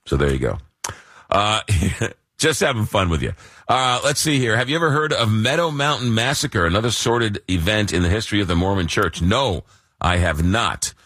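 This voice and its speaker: Radio host, man, low voice, hoarse from a cold, rambling and wry, dry studio sound. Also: expressive, normal speed, fairly bright tone, dry recording. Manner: rambling, many uh fillers, dry joking. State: losing his voice with a cold. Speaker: radio talk show host. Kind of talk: casual call-in radio chat.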